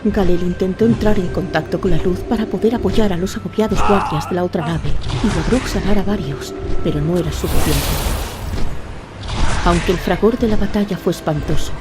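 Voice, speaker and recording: Low-voiced woman narrating over music. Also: a little fast. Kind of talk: scripted dramatic fantasy narration. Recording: voice over a prominent music bed.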